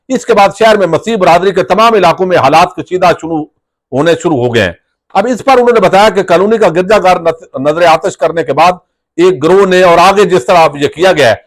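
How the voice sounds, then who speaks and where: A man with a Pakistani accent, reading out news reports and adding his own commentary. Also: high voice.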